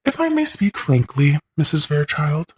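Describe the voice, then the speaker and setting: A woman's voice: deep voice, sing-song, a little mellow, husky, exaggerated voice. A woman voicing a stern older nun who serves as assistant principal, speaking formally and coldly. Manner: formal, measured and cold.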